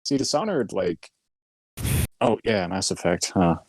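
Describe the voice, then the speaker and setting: A man's voice: deep voice, very animated, unhurried. A man co-hosting a podcast, chatting casually about video games and telling anecdotes with quoted dialogue. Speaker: podcast co-host.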